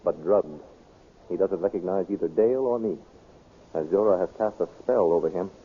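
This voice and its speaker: A man's voice: low-pitched, normal speed, slightly rough. A man playing an anxious, captive captain in an old radio drama, speaking urgently. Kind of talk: 1930s radio drama acting. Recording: tinny, narrow old radio recording.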